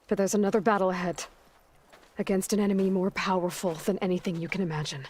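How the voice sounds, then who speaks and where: A woman's voice: on the low side, flat delivery, fast, darkish tone, close to an everyday voice. A woman playing a determined, guarded young warrior heroine in a video game, with firm, terse, dry delivery. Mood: resolute. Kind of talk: dramatic video game acting.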